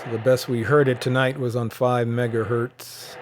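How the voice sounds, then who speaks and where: A man giving casual, unscripted commentary as a radio hobbyist, low-key and halting as he thinks aloud. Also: little pitch movement, background noise. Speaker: shortwave radio hobbyist presenting. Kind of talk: casual unscripted commentary while tuning and showing gear.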